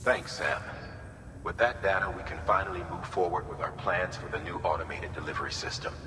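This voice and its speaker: A very low male voice rings with long reverb over clear noise. a steady, authoritative commander, measured and businesslike, giving briefings in a game cutscene.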